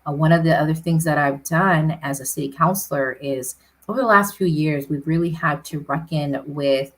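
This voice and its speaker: A woman's voice: low voice, a bit bright, rough recording. A woman city councilor answering interview questions earnestly, often tagging points with "right?".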